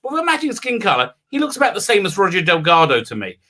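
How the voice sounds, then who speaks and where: A man on a casual podcast panel, talking haltingly and repeating words for emphasis. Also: sits high, wildly swooping pitch, background noise.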